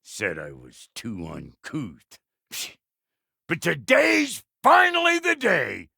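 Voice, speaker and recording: A man voicing a gruff, impatient, foul-mouthed dwarf blacksmith in a video game, with a gravelly, raspy voice and folksy, irreverent talk. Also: a little high, wildly swooping pitch, slow pace, sharp tone, no echo, clean studio sound, full character voice. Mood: excited, cocky. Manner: folksy, irreverent, colloquial grammar. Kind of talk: video game character dialogue.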